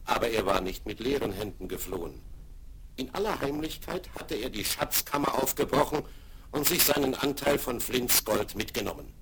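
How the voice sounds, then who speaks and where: A man's voice: mid-range voice, lively intonation, normal speed, noisy background. A man narrating an adventure radio play in scripted storytelling style. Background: music.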